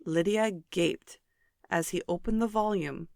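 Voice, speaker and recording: Woman reading an old novel audiobook, kinda low creaky voice, really dry sound. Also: a little slow, darkish tone, dry recording. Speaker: audiobook narrator. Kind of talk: scripted literary narration.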